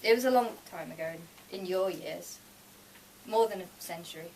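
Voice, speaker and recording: A woman's voice: fairly low pitch, normal speed, gravelly, darkish tone, distant mic. Death as an easygoing, plain-spoken boyfriend for a short story, female reader, heard from off-mic in a noisy room. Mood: guarded. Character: laid-back man who is Death, patient, matter-of-fact.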